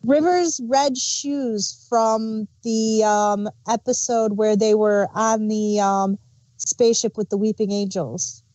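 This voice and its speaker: A woman's voice: mid-range voice, fairly even intonation, takes it slowly, a little mellow, no room sound, low-quality recording. A woman co-hosting an audio drama review podcast, chatting casually and thinking aloud with fillers. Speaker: podcast co-host. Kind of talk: casual chat.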